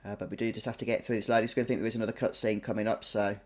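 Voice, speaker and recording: A man giving chatty, unhurried Let's Play commentary, explaining his choices aloud. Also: lowish voice, level tone, a little mellow. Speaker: gaming video host.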